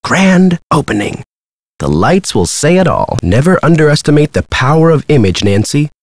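Vain, animated movie star. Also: male voice, on the high side, moderate pace, dry recording, studio quality. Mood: boastful. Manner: self-important, showy, glib. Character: vain smooth-talking young male movie star. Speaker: actor character in an adventure game. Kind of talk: video game voice acting.

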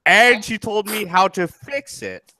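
A man's voice: high voice, animated, a little slow, crowd noise. A man joking bluntly on a group video call while drinking. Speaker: online video creator. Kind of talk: casual group chat.

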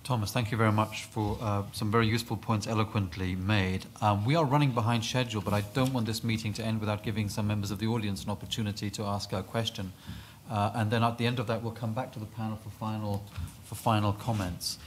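A man moderates a panel discussion, speaking quickly and politely as he hands the floor to the audience. His voice sits a little low, and faint noise runs beneath it. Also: fairly even intonation. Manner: brisk, polite. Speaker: chair. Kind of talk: moderating a forum.

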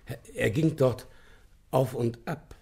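Husky, creaky police inspector. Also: male voice, mid-range voice, slow, mellow, heavily acted. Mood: flustered. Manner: hesitant, respectful. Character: earnest, deferential male police inspector. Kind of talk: German radio play acting.

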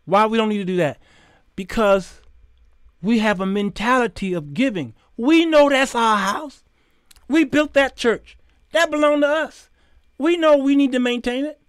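High-pitched, emphatic American man hosting. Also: unhurried, smooth, dry recording, clean studio sound. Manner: animated, preacherly, emphatic, with rhetorical questions and acted-out dialogue. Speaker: talk show host. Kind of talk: informal opinionated monologue to camera. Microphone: close condenser microphone on boom arm.

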